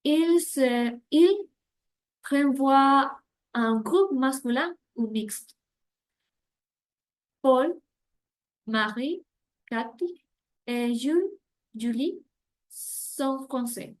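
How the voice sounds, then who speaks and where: A woman teaching French grammar, slowly reading out example phrases in a scripted lesson. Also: high-pitched.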